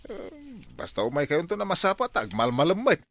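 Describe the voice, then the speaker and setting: Gravelly Ilocano neighbor man. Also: on the high side, big pitch swings, fairly quick, fairly bright tone. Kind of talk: comedy drama acting.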